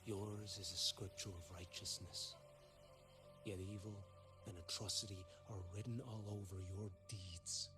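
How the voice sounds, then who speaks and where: An actor playing a stern, cold warrior in a fantasy game, grave and accusing in a measured delivery. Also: male voice, deep voice, steady tone, over music. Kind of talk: dramatic fantasy game voice acting.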